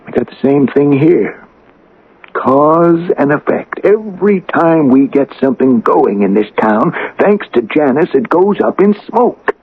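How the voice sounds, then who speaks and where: Sly persuasive townsman, old radio drama, creaky voice that swings up and down, muffled recording. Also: fairly high pitch, lively intonation, unhurried. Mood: aggrieved, insinuating. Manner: folksy, leading, persuasive. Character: insinuating, persuasive townsman, male, coolly scheming. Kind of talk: 1980s radio drama acting.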